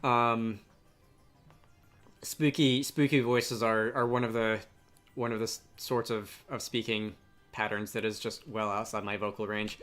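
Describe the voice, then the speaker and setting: A man giving casual Let's Play commentary, talking haltingly and poking fun at himself, and reading game dialogue aloud. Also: on the low side, flat delivery, fairly bright tone, faint music behind.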